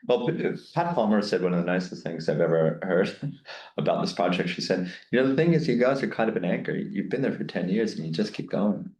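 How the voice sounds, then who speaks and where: A man's voice: low voice, animated, a little fast, darkish tone, echoey room, low-quality recording. A man answering questions after a lecture, thinking aloud in a candid, rambling way with many restarts. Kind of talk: candid academic Q&A.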